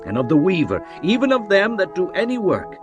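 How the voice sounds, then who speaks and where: An actor playing an impassioned prophet and leader addressing his people in a dramatised scripture reading, declamatory and deliberate. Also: high voice, wildly swooping pitch. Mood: solemn, exalted. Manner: declamatory, deliberate, solemn phrasing. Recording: music bed behind the voice.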